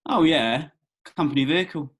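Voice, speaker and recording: A man reading the part of an awkward, eager young man in a comedy script table read, with a hesitant, earnest delivery and a husky voice. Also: a little high, moderate pace. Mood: boastful. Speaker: actor in a script read-through. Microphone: video call.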